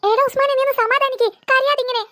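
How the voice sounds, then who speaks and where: A woman's voice: very high voice, steady tone, fast talker, a bit bright. A woman voicing a caring child friend in a children's cartoon, pleading and insistent. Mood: worried, comforting.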